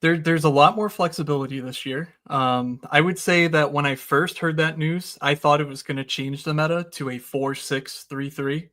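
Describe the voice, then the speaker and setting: A man in a casual podcast chat explains strategy eagerly, with plenty of "uh" and "you know." His voice sits slightly high. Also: steady tone, sharp tone. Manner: fast, enthusiastic, explanatory, many fillers. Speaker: recurring guest analyst.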